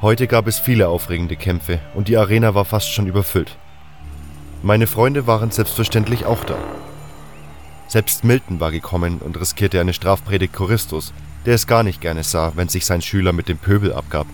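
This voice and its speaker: Male narrator, lowish voice, scripted fantasy storytelling with music underneath. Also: normal speed. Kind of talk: scripted first-person audio drama narration.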